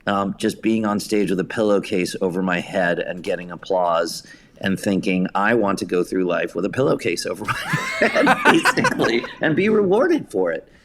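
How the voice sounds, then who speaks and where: American male theater director as interview guest; lowish voice, wry, self-deprecating, conversational storyteller. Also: huge pitch swings, normal speed, background noise. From suburban New York. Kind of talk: casual anecdotal storytelling.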